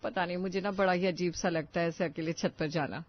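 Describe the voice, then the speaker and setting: A woman's voice: fairly low pitch, steady tone, brisk, muffled recording, close to an everyday voice. A woman voicing a prim, self-satisfied married neighbour in a family story, speaking in a coy, demure way. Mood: coy, reluctant.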